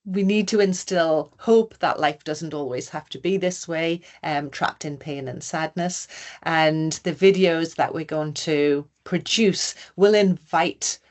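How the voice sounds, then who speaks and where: A woman grief educator presents over a webinar call in a low, easy voice. She speaks conversationally, with fillers and restarts as she gathers her thoughts. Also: slow, a little mellow. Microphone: video call.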